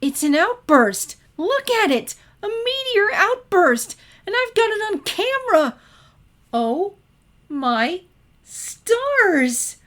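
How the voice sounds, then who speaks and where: A woman voicing an excitable, nerdy teenage girl in a story reading, eager and gushing. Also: extremely high pitch, slow pace, pitched above the performer's own voice, exaggerated voice. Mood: ecstatic. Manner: eager, gushing, stammering when flustered.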